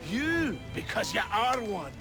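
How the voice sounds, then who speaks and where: A man voicing a blunt, excitable lumberman in a video game, with a colloquial way of talking. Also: high voice, measured pace, music in the background, exaggerated voice. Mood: teasing. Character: excitable male lumberman, blunt, rough and friendly.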